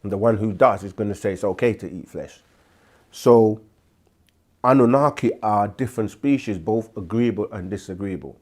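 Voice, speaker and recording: A man hosting a live question-and-answer stream, speaking with a sore throat and a congested voice, deliberate and full of restarts. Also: lowish voice, a little mellow. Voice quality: slow, deliberate delivery with frequent restarts. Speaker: host answering viewer questions. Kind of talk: livestream Q&A teaching.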